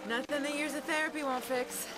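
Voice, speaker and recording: Game role, woman acting: plucky young American female reporter, brisk, high lively voice, loud music behind. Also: lightly acted. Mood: wry. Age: young adult. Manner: brisk, quick-witted. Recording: muddy game capture, music over voice.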